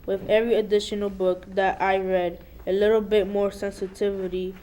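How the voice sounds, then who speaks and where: A woman's voice: medium pitch, level tone, normal speed, dark tone, poor audio. A young teenage girl, a middle school student, performing a dramatic reading aloud. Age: young teen, middle school student. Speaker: student reader.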